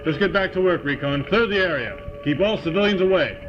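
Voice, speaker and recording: A man playing a brave, gruff army colonel in an audio drama, terse and commanding. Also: sits high, animated, a little fast. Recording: dull lo-fi, very loud music behind.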